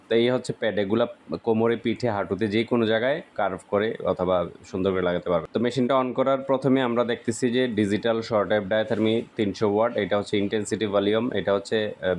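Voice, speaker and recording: A man with a Bangladeshi accent speaks in a fairly low voice. He talks like an equipment seller walking customers through a product. His delivery is informal and explanatory, going back over key points for emphasis. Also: a little mellow.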